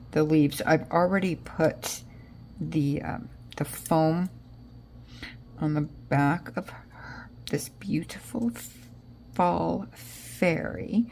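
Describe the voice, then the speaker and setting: A woman's voice: sits low, creaky, a little mellow, slight background noise. A woman with an American accent chatting casually as she narrates a craft project, rambling and thinking aloud, often trailing off. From Israel, raised in Connecticut, US. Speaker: craft vlogger.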